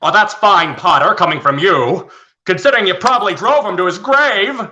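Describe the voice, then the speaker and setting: A man playing a folksy, excitable uncle in his late fifties, in a live radio play reading. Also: sits high, moderate pace, lo-fi sound, slight background noise. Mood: indignant. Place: remote online reading from home.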